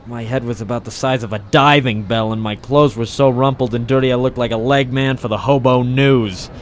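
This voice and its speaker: A man's voice: mid-range voice, fairly even intonation, a little fast, a little hiss or hum, close to an everyday voice. An actor as a hard-boiled, sardonic noir tough guy. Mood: wry, deadpan. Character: cynical wisecracking male private troubleshooter of the docks. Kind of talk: late 1940s noir radio acting with narration. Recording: old 1940s radio drama recording.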